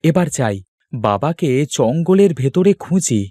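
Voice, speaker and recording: A man's voice: on the high side, sing-song, a little slow, mellow, dry recording, clean studio sound. Man voicing a brave, earnest young son. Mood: worried, resolute. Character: brave, determined young son searching for his lost father. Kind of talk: Bengali cartoon story dub, dramatic.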